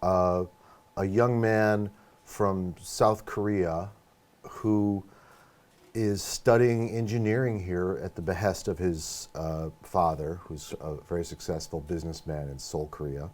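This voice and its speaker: A man speaks as an interview guest with a low-pitched voice. He tells things conversationally, in a halting storytelling manner. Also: steady tone, darkish tone. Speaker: film professor and director, interview guest.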